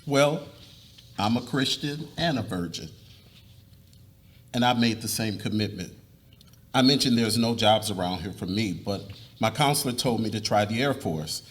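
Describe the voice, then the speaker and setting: A man's voice: lowish voice, fairly bright tone, slight background noise, lightly acted. A man reading the part of an earnest, polite young college student in a dramatic reading of a novel.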